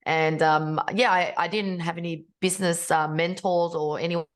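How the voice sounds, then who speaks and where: A woman's voice: on the low side, fairly even intonation, moderate pace. Upbeat woman raised in Australia. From Vietnam, raised in Australia. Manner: upbeat, chatty storyteller. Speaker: guest, business founder. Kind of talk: podcast interview.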